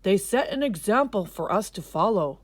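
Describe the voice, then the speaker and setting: Woman reading as a wise storybook father, expressive delivery. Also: mid-range voice, normal speed, no room sound, natural voice. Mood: patient teaching. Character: wise, kindly father gently teaching his son.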